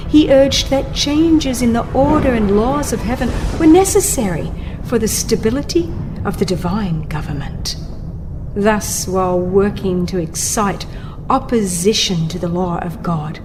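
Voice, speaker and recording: Woman audiobook narrator, higher-pitched voice, scripted dramatic religious reading, solemn deliberate phrasing, music playing behind. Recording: music under the voice. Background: background music.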